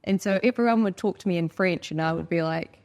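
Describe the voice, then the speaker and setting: A woman with a slightly low voice and a New Zealand accent hosts a casual conversation. She speaks informally and lets her sentences ramble, in the manner of someone swapping anecdotes. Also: quick pace, a little mellow. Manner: informal, rambling, acts out quoted dialogue. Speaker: podcast host.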